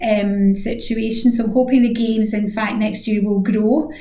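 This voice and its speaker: A woman's voice: mid-range voice, fairly even intonation, a little slow, warm tone. A Scottish woman in her sixties giving thoughtful, wordy answers as a guest in a conversational radio interview, often repeating her phrases. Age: 60s. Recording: echoey room sound.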